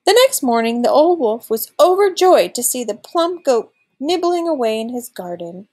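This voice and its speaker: A woman's voice: high voice, big pitch swings, moderate pace, a little mellow. A woman in her thirties reading a children's storybook aloud expressively, like a teacher.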